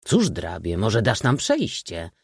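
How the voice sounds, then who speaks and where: A man's voice: fairly low pitch, wildly swooping pitch, slow, vocal fry, slightly rough, no room sound, clean studio sound, heavily acted. A man voicing an arrogant, contemptuous baron in an audiobook story. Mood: scornful.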